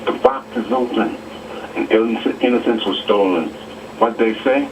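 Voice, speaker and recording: A man's voice: fairly low pitch, moderate pace. A man calling in to a live radio show. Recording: noisy radio phone call, distant.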